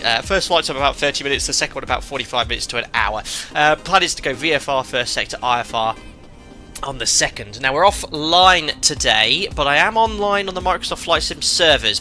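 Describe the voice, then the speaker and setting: A man streaming live as a flight simulator host, chatting and reading out chat messages, congested from a cold with a croaky voice and many filler ums and uhs. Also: medium pitch, pushed and bright. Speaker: streamer and airline pilot.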